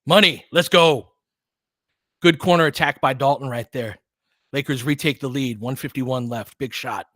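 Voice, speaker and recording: A man with a mid-pitched voice calls a basketball game live. His delivery is informal and partisan, built from short clipped phrases, and excitable when the play heats up. The sound is dry, with no room around it. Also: moderate pace, no echo. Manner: partisan, excitable on big plays. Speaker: fan play-by-play commentator.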